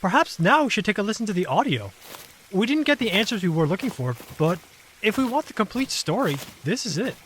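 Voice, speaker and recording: A man playing a gentle, thoughtful and knowing guide in an audio drama, with a measured explanatory manner. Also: high voice, big pitch swings, a little hiss or hum, poor audio.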